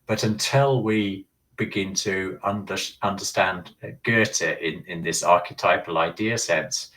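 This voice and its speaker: A man's voice: deep voice, a little slow, a bit bright, far from the mic, poor audio. A man earnestly explaining ideas at length in a casual talk, often restarting his sentences. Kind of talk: casual discussion.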